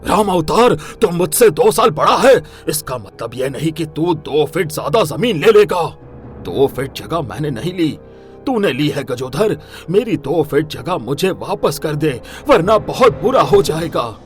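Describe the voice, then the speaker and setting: A man playing a combative elderly village farmer in an animated story, quarrelling and threatening. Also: on the high side, unhurried, soft background music. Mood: angry, threatening. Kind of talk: animated moral story voice acting.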